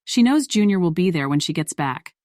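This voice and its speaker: A woman's voice: lowish voice, very animated, brisk, darkish tone, dry recording, studio quality. A woman reading scripted story narration in the role of narrator.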